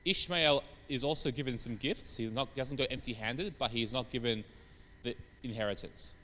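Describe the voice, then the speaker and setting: A man with a croaky voice from hay fever, giving a relaxed sermon with many pauses and fillers. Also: mid-range voice, long reverb tail. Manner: rambling, many fillers and restarts. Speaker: preacher. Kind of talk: informal sermon on scripture.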